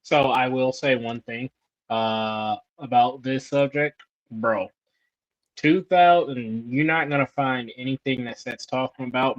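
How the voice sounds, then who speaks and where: A man's voice: mid-range voice, fairly bright tone, dull audio. Laid-back man in his twenties. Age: 20s. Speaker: podcast co-host. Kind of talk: casual panel chat.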